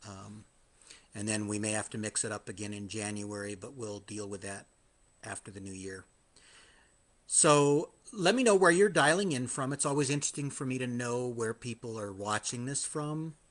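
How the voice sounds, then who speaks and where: A man hosting his own live podcast, a little hoarse after hours of singing, talking in a chatty, self-deprecating way with frequent restarts. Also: medium pitch, moderate pace, a bit bright. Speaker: podcast host. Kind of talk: live-streamed casual monologue.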